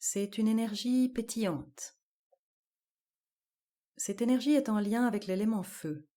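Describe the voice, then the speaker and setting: A woman guiding a yoga nidra relaxation, giving calm, measured instructions in short, spaced-out phrases. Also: fairly high pitch. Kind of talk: guided relaxation meditation.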